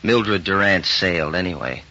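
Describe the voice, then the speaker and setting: A brisk FBI agent in an old radio crime drama. His voice is a bit low and forceful, with clipped, businesslike delivery. The recording sounds dull. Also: animated, exaggerated voice. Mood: businesslike urgency.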